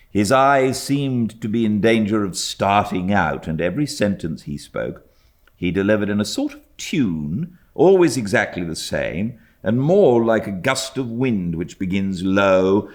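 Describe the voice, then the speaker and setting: A British man reading classic literature aloud as an audiobook narrator, with expressive storytelling delivery. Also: low voice. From Britain.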